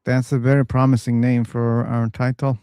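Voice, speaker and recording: A man hosting a casual live online discussion room, chatty and quick to laugh. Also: mid-range voice, clean tone, no room sound, clean studio sound. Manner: relaxed, chatty, laughs easily. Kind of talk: casual live-stream chat.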